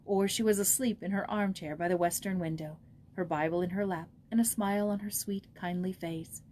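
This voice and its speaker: Lady narrating a storybook, soft mellow tone, some noise behind her. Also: on the low side, fairly even intonation, a little fast. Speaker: audiobook narrator. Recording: noticeable background noise.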